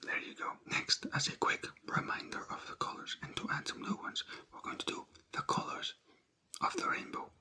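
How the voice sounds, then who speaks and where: A language teacher giving an ASMR vocabulary lesson in a breathy whisper, repeating words and spelling them out letter by letter. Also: normal speed, sharp tone.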